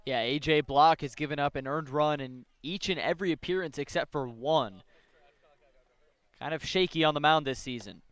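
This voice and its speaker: Clear-voiced male baseball color commentator. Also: a little high, normal speed, fairly bright tone, dry recording. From Chicago, United States. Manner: informal, colloquial remarks. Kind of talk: conversational radio sports commentary.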